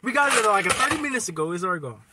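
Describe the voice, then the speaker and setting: A man's voice: sits high, very animated, very fast, heavy background noise. An eighteen-year-old man hosting a casual vlog from inside a car, joking and teasing in a laid-back way, filmed on a phone held at arm's length. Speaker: vlogger. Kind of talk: casual chat. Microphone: phone camera at arm's length.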